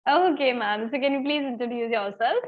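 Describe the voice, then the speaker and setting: A woman's voice: on the high side, brisk, highs cut off. A woman moderating an online conference, polite and formal, greeting guests and calling them ma'am. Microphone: video call.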